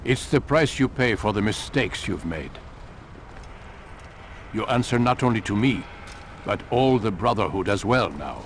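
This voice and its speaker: Over noticeable noise comes the low voice of a stern master of assassins. He speaks formally and with solemn command, in the manner of video game drama. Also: animated, measured pace, long pauses. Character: stern, measured male leader of an assassin order.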